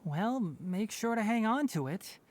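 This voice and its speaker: An actor playing a composed, analytical young male forest healer in a video game, articulate and measured. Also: high voice, lively intonation, darkish tone. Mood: gently teasing. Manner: articulate, measured, matter-of-fact.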